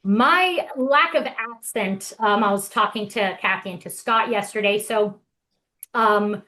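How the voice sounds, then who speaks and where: Woman from Brazil, guest presenter, chatty, enthusiastic, mid-pitched voice. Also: measured pace, sharp tone. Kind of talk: casual presentation.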